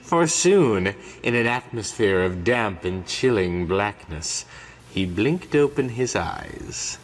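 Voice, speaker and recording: A man's voice: on the low side, animated, takes it slowly. A man narrating a horror comic in a grave, dramatic, scripted storytelling style. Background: musical score.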